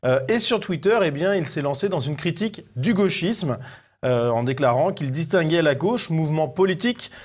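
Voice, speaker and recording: A man co-hosting a conversational media review show, presenting in halting phrases. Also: on the high side, animated. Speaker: co-host presenting media clips.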